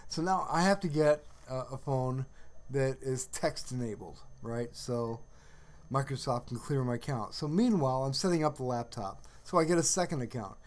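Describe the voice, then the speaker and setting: A man hosting a casual livestream, chatting in a rambling, halting way and often trailing off mid-sentence. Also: mid-range voice, darkish tone. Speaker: livestream host.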